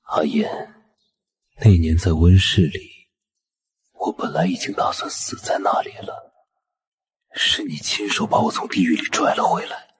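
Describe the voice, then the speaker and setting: A man acting a menacing, possessive tycoon in a Chinese drama, with a husky, breathy voice. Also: low-pitched, huge pitch swings, a little slow, long pauses, in a whisper, exaggerated voice. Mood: obsessive, menacing. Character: menacing obsessive male mafia-style tycoon, intense and possessive. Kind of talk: Chinese audio drama dialogue.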